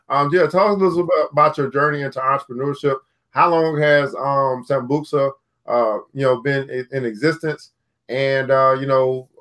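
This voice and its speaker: A man's voice: medium pitch, a bit bright. A man hosting a video call interview, asking long rambling questions with many restarts. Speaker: show host. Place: home room, indoors.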